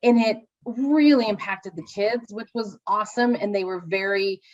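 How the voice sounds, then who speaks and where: A mother co-hosting a podcast in casual chat, talking eagerly and chiming in quickly. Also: mid-range voice, darkish tone. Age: adult with children. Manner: chatty, enthusiastic, quick interjections. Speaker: podcast co-host. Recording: dull lo-fi sound.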